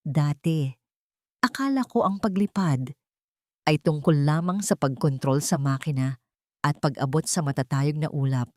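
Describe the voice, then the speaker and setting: A woman voicing a woman pilot who speaks softly and gently, reflecting on her life. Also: low-pitched, a little slow, pauses often, dry recording, clean studio sound. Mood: reflective.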